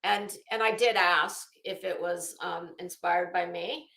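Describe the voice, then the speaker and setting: A woman's voice: fairly low pitch, steady tone, unhurried, gravelly, sharp tone. An American woman hosting a livestream, chatting casually with viewers in a blunt, emphatic, chatty way. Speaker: livestream host. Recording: echoey, roomy sound.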